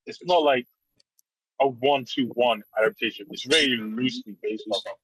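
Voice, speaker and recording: A young man co-hosting a casual film podcast, talking in a halting, unsure way. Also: medium pitch, fairly bright tone, husky, no echo, low-quality recording. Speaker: podcast co-host.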